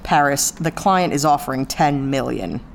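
A woman's voice: a bass voice, lively intonation, normal speed, vocal fry, slight background noise, exaggerated voice. A woman playing a no-nonsense spaceship captain in a sci-fi audio drama, dry, bossy and sarcastic. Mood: businesslike. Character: brisk, no-nonsense female spaceship captain, sardonic and exasperated.

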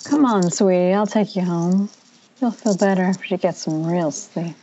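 A woman's voice: on the low side, moderate pace, dark tone, highs cut off, a little hiss or hum, close to an everyday voice. A woman playing a caring, firm young friend in an amateur horror script read over an internet call. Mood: soothing. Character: concerned, soothing young female best friend. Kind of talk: amateur screenplay table read.

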